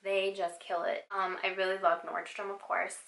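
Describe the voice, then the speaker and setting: A woman's voice: medium pitch, flat delivery, normal speed, gravelly, a bit bright, reverberant. A woman in her thirties from Southern California, chatting sassily and playfully through a get-to-know-me question video. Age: 30s. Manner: sassy, playful, exclamatory. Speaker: vlogger. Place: home, sitting down.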